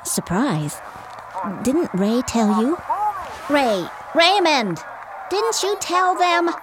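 A woman's voice: high-pitched, sing-song, extremely slow, noisy background, full character voice. Woman as a lilting, gushing older mother. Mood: bright, pleased. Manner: gushing, chuckly, polite. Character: cheerful doting mother of sixty-two, newly married. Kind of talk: audio drama comedy acting.

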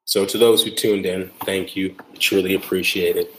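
A man's voice: deep voice, little pitch movement, moderate pace, a bit bright, roomy sound, slight background noise, poor audio. A man hosting a solo podcast episode, talking earnestly and repeating phrases for emphasis, often adding "right?". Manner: frequent tag "right?". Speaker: podcast host.

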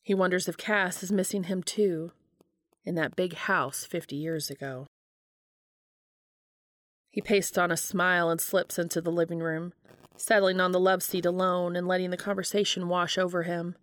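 Female story reader, slightly low register, audiobook-style narration of fiction, dry and close recording. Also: fairly even intonation, normal speed, dry recording.

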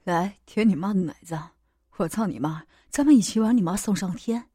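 A woman acts out a domineering audiobook man, blunt and commanding. The voice is dropped low, dark and husky. The sound is dry. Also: a little fast, dry recording, natural voice. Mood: brash, commanding.

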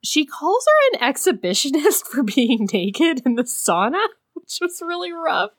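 A woman in a casual chat about a book, talking loosely with frequent laughs and sharp criticism. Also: high-pitched, very animated, unhurried, no room sound, studio quality. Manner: chatty, laughs often, critical. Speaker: podcast co-host.

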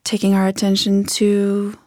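A woman leading a guided body scan meditation in a gentle, unhurried manner with soft phrase endings. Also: medium pitch, completely flat delivery, no echo, studio quality.

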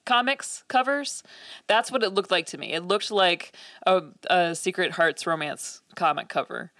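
Low-voiced woman, thoughtful chat. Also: moderate pace, a bit bright, no echo, studio quality. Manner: thoughtful, many fillers and hedges. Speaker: podcast co-host. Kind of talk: casual podcast chat.

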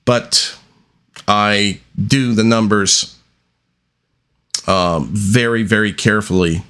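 A man hosting a podcast alone, talking off the cuff in a deliberate, halting way with long pauses between phrases. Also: lowish voice, steady tone, a bit bright, clear, resonant tone. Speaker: podcast host. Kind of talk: unscripted solo podcast monologue.

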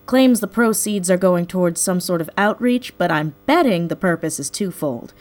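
An actress playing a wry, sharp tech specialist in an audio drama, matter-of-fact in manner. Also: female voice, on the low side, expressive, moderate pace, close to an everyday voice. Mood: wry, explaining. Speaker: voice actor in a fiction podcast. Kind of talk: scripted audio drama acting.

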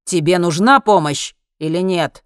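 An irritable man in a storybook drama, acted out by a woman. He is gruff and grudging, quick to snap and swear in exasperation. The sound is close and dry. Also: lowish voice, lively intonation, no echo, close to an everyday voice. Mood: grudging, annoyed. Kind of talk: acted audiobook dialogue.

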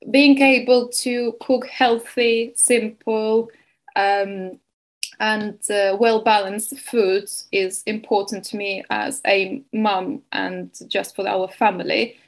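Slow, halting woman from Estonia. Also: mid-range voice. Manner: hesitant, many fillers and restarts. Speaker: keto coach and nutrition advisor. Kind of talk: webinar interview.

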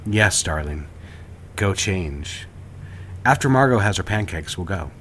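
Bir adamın oynadığı pes sesli, müşfik baba. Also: male voice, sits low, animated, a little slow, fairly bright tone, a little hiss or hum. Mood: fatherly, indulgent. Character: indulgent, smooth-talking father and flirtatious lover. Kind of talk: audio drama acting.

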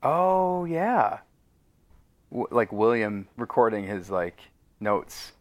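Male interview guest. Slightly low voice, casual, wry delivery. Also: big pitch swings, takes it slowly, no echo. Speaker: podcast guest, audio drama writer. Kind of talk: casual chat.

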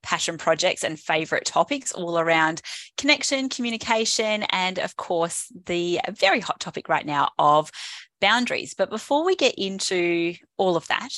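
A woman's voice: lowish voice, lively intonation, moderate pace, a bit bright, no room sound. A woman hosting a podcast, reading a guest introduction and asking enthusiastic, upbeat follow-up questions. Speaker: podcast host. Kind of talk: interview hosting.

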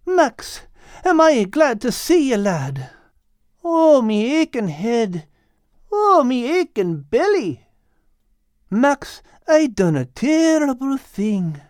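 A man voicing a timid, soft-hearted greedy tomcat with a simple, plaintive manner in an audiobook. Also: extremely high pitch, very animated, takes it slowly, smooth, no echo, studio quality, pitched above the performer's own voice, full character voice. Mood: groggy, moaning. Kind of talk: animated audiobook character reading.

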